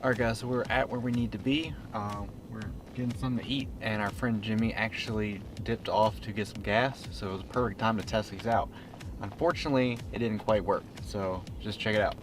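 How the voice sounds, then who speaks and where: A man's voice: fairly low pitch, steady tone, a little fast, over music. A man hosting a casual gadget review vlog in an informal, plain-spoken way. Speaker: host of a car tech review channel.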